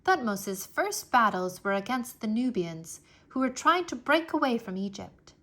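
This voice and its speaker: A woman reading a history book aloud for children in an expressive, deliberate storytelling manner. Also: on the high side. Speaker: read-aloud narrator.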